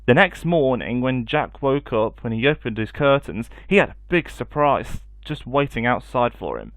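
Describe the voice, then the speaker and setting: A male storyteller with a mid-pitched voice, reading expressively for children. The recording is dry, with little room sound. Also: a bit bright, no room sound.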